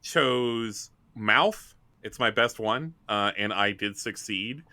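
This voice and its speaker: A man's voice: on the low side, takes it slowly, bright, forceful tone, dry recording. A man in casual, jokey banter as a player on a tabletop roleplaying podcast. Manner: jokey, playful.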